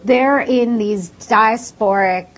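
A woman's voice: fairly high pitch, takes it slowly. A woman, born in India and raised in the United States, explaining her research fluently in a casual interview with many "like" fillers.

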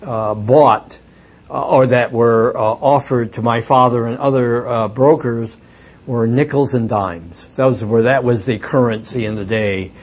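A man's voice: mid-range voice, steady tone, unhurried, slightly rough. Hesitant older man telling stories. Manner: reflective, hesitant storytelling with many fillers. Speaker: author and former reporter. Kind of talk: book talk with audience questions.

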